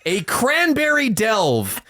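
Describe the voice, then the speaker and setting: A podcast co-host banters casually, candid and mock-indignant. The pitch is middling but jumps around a great deal, and the recording is very dry. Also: huge pitch swings, slow, sharp tone, no echo.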